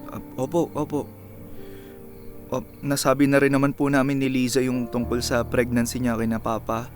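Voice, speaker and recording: A man's voice: medium pitch, completely flat delivery, normal speed, soft background music, close to an everyday voice. A man playing a polite, earnest, slightly nervous young boyfriend in a Filipino radio drama, hesitant and respectful. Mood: respectful, reassuring. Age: young adult.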